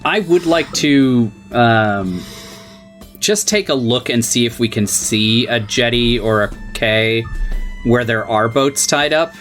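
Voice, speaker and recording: A man's voice: medium pitch, animated, slow, fairly bright tone. A man playing a tabletop game, speaking hesitantly with fillers. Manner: hesitant, starts with "uh" and "um". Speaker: player. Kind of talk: casual tabletop play. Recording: faint background music.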